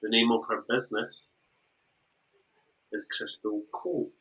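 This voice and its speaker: A man's voice: on the low side, fairly even intonation, measured pace, a bit bright, rough recording. A man with a Scottish accent hosting a show, chatting casually and teasing his guest in a jokey way. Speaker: podcast host. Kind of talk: casual chat.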